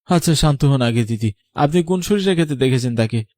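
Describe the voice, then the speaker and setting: A man's voice: medium pitch, animated, a little fast, warm tone, dry recording, studio quality, close to an everyday voice. A man playing a concerned villager in cartoon story dialogue, earnest and inquisitive. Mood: calm questioning. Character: concerned male villager questioning a distressed stranger.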